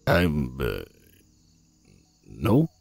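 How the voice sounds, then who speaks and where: A man's voice: very deep voice, wildly swooping pitch, drawn-out words, vocal fry, darkish tone, slightly rough, dry recording, exaggerated voice. A man playing an earnest wood elf druid in a fantasy audio drama, hesitant and stammering. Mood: unsure.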